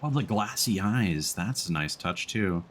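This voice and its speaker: A man chatting casually as he live-streams a game, musing aloud in an unhurried way. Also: on the low side, animated, a little hiss or hum.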